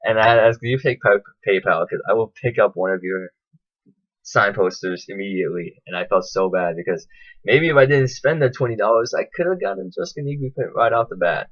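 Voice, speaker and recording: A man telling a casual, enthusiastic vlog recap in a chatty, animated way. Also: lowish voice, a little fast, muffled recording. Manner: animated, chatty, acts out quotes in raised voices. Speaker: vlogger.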